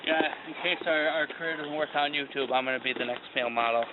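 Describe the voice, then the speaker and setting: A man's voice: on the high side, quick pace. A man with a nasal voice, co-hosting a hiking vlog and trading self-mocking jokes on a wooded trail. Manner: joking, self-mocking banter. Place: outdoor wooded mountain trail. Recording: tinny lo-fi with outdoor noise.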